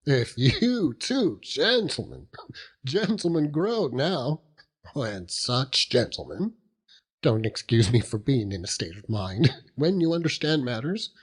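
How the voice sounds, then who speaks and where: Hearty old country seaman, chuckling, overjoyed, lively pitch, unhurried audiobook character. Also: husky, full character voice. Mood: overjoyed, flustered. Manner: chuckling, rambling, dialect-heavy storytelling with exclamations. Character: hearty old working-class seaman uncle, doting and overjoyed.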